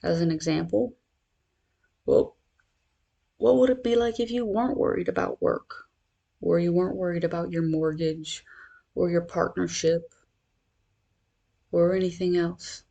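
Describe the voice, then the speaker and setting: A meditation guide speaking in a measured, reassuring, instructional manner. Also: medium pitch, fairly even intonation, vocal fry, dark tone, lo-fi sound.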